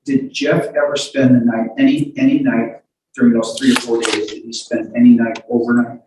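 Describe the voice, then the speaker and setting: A man working as a lawyer, putting questions to a witness in a halting, apologetic way. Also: mid-range voice, little pitch movement, background noise. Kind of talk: courtroom cross-examination. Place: indoor courtroom. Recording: echoey courtroom, distant mic.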